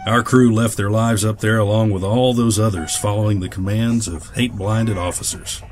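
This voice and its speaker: A weary old pilot turned farmer acted by a man in a radio drama, with a low voice and plain, folksy talk. He is proud, loyal and bitter, brooding over his words. There is steady noise behind him. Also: normal speed, lightly acted. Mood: somber, hesitant. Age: older. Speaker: voice actor. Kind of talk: audio drama acting.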